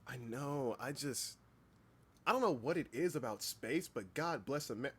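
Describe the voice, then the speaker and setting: A man's voice: mid-range voice, lively intonation, a little slow, slightly rough. A man hosting a casual livestream chat, speaking informally, with repeated words and occasional swearing. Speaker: livestream host and hobby voice actor.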